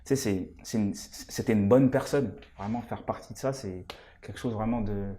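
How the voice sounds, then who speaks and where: Earnest young man with a low voice. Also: moderate pace, dark tone. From Congo-Kinshasa, raised in France. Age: 20s. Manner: earnest, hesitant, many fillers and repeated words. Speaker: stage actor, interview guest. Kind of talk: casual interview answers.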